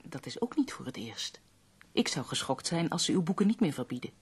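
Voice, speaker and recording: Husky, loyal lady visitor. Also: low voice, very animated, a little mellow, full character voice. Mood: gently wry. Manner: formal, measured, supportive. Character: loyal, sympathetic nineteenth-century lady visitor, gently indignant. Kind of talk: Dutch radio play acting.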